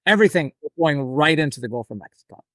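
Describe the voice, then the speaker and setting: A man in his forties from the United States, an author and farmer guest on a podcast, telling his story in a relaxed, rambling way. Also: on the high side, fast talker, no echo, clean studio sound. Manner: relaxed, storytelling, some restarts. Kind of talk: storytelling in an interview.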